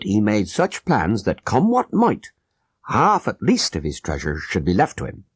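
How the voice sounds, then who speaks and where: A man's voice: fairly low pitch, slightly rough, no room sound, studio quality, heavily acted. A man voicing a grave, persuasive Sikh guard who conspires in solemn, formal speech for an audiobook.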